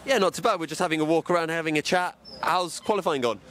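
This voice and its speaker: A man's voice: fairly high pitch, fast, fairly bright tone. A young man presenting and interviewing on a handheld mic, outdoors in a racing paddock. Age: young adult. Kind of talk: roving paddock commentary and interviews. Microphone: handheld microphone.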